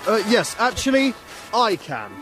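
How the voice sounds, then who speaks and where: A man's voice: sits high, big pitch swings, over music, low-quality recording. An actor playing an awkward, polite young English public-school man who stammers and apologises in a comedy drama. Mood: earnest, agitated. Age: young adult. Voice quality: halting, stammering. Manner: bumbling, apologetic.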